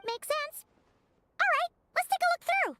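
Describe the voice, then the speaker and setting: A woman voicing a tiny, perky, impatient childlike fairy companion in a video game, squeaky and expressive. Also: normal speed, exaggerated voice. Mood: puzzled. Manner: refers to self in third person, expressive. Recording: music behind the voice. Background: background music.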